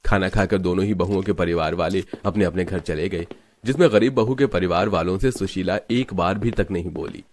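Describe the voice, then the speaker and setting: A man's voice: deep voice, fairly quick, a little mellow, slight background noise. A man reading scripted narration for a story.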